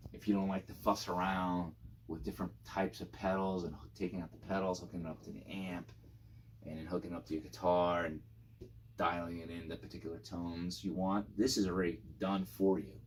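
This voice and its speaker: A man's voice: low-pitched, a little hiss or hum. A man reviewing guitar gear in a casual, informal and rambling product demo style with some enthusiasm.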